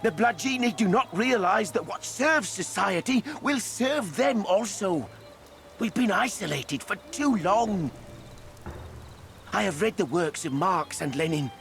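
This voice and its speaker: A man's voice: high voice, expressive, measured pace, vocal fry, a bit bright, faint music behind, heavily acted. A man voicing a small, zealous revolutionary fairy in a video game, pompous and declamatory, as if addressing comrades. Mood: earnest lecturing. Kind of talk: video game character monologue.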